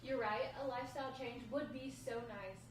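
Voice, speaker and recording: A woman's voice: on the high side, fairly even intonation, fairly quick, close to an everyday voice. A woman reading a worried, devoted partner at a script table read, anxious and hesitant. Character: worried, devoted female partner of a hard-up man. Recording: very echoey, distant, noisy room.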